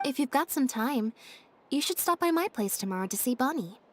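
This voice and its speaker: Game role: a cool, deadpan young magician's assistant, a touch high, flat and dry. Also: female voice, a little fast. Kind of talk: video game voice acting.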